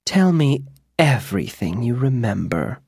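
A sly old storybook witch who speaks slowly in a hushed, silky voice, as a male narrator puts her on. Her lines are quiet and unhurried, close and dry. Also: mid-range voice, sing-song, creaky, breathy edge, dry recording, heavily acted.

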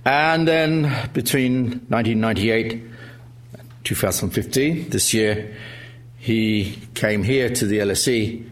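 A man's voice: medium pitch, slow pace. Man, middle-aged, event chair; formal intro, hesitant, wry. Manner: hesitant, frequent uh fillers, wry humor. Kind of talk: formal introduction speech with jokes. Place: lecture hall with audience.

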